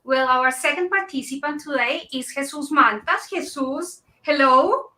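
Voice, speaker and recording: Bright high-voiced woman moderating a panel. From Colombia. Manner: gracious, slightly halting with fillers. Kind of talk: hosting an online panel.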